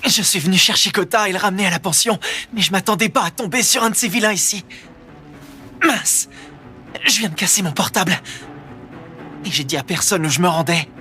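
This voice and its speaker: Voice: medium pitch, a little fast, long pauses, sharp tone, faint music behind, lightly acted. A performer playing a determined, protective teenage boy hero in a French anime dub, speaking earnestly and tensely. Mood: anxious resolve. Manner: earnest, tense inner monologue. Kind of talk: French-dubbed anime acting.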